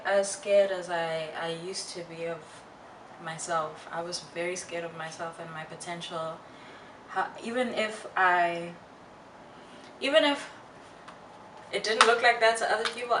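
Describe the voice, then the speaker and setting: Slow-talking woman vlogger in a roomy space. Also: on the low side, fairly bright tone. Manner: candid, halting and reflective with slangy asides. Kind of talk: casual personal chat to viewers. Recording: roomy, distant mic, faint noise.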